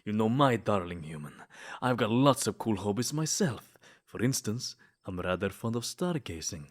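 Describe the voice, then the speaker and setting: A man's voice: on the low side, lively intonation, normal speed, close to an everyday voice. A man playing a smug, possessive, lovesick vampire in a comedic ASMR roleplay, theatrical and taunting one moment and sweet-talking the next. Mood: smug wooing.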